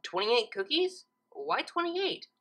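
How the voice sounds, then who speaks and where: A woman's voice: on the high side, big pitch swings, takes it slowly, a bit bright, pitched above the performer's own voice, full character voice. A woman voicing an earnest, studious young female cartoon pony who is exacting, questioning and easily flustered.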